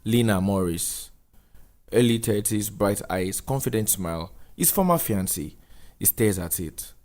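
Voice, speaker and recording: Solemn man with a lowish voice, narrating. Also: lively intonation, measured pace, a little mellow. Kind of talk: dramatic script narration with scene descriptions.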